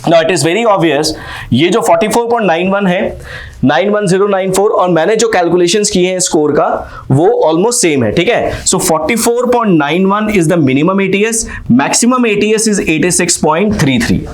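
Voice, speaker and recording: A high-voiced man explains exam score calculations at an unhurried pace. He poses questions to himself and then answers them. He keeps checking that his students are following. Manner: Hinglish, asks and answers his own questions; frequent "ठीक है?" check-ins. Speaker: exam coaching teacher.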